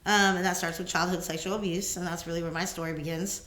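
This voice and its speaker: This is a woman co-host with a somewhat low voice who speaks steadily and articulately. She affirms her partner and tells her own testimony plainly. The room around her sounds echoey. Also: little pitch movement, quick pace, fairly bright tone. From Houston, Texas. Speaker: podcast co-host. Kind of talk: casual conversation and personal testimony.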